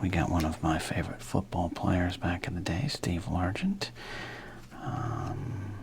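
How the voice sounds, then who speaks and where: A man's voice: sits low, gravelly, a little hiss or hum. A man casually commenting while opening packs of trading cards, with wry jokes and half-whispered asides. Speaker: card collector opening packs.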